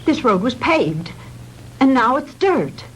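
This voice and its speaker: An actress playing a frightened, bewildered, high-strung young woman in a 1960s low-budget film. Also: very animated, takes it slowly, slightly rough, full character voice. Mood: rising hysteria. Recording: noisy old 1960s film soundtrack.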